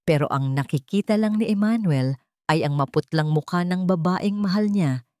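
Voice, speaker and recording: Woman narrator, lowish pitch, dark mellow tone, dramatic storytelling, dry close recording. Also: moderate pace, dry recording.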